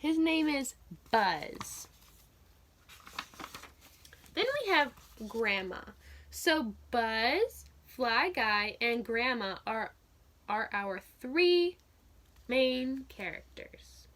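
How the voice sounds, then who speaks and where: A woman's voice: on the high side, big pitch swings, drawn-out words, long pauses, a bit bright. A woman teaching young children through a read-aloud, telling the story expressively and putting simple, encouraging questions to her listeners.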